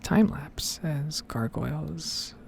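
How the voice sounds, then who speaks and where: A woman whispering and speaking very softly in a hesitant, unhurried ASMR ramble, recorded at home on a desktop microphone. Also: low voice, gravelly. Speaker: streamer making ASMR gaming videos. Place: indoor home setup. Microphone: Blue Yeti desktop microphone.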